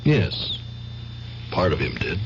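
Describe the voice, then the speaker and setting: An actor playing a world-weary, matter-of-fact man offering gruff comfort in a radio drama. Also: a bass voice, wildly swooping pitch, slow, full character voice. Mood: resigned. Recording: dull old radio recording, faint noise.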